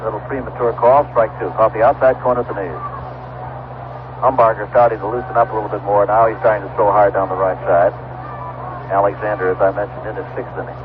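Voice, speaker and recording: A man's voice: lowish voice, flat delivery, quick pace. A man calling baseball play-by-play live on a noisy, tinny 1970s radio broadcast. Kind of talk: live radio sports commentary. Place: ballpark broadcast booth.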